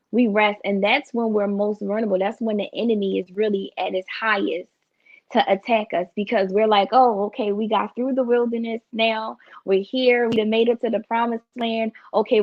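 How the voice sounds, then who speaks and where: A woman hosting a faith podcast, who affirms her guest often and preaches a little when she speaks up. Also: no room sound. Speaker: podcast host. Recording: dull, dry, low-fi.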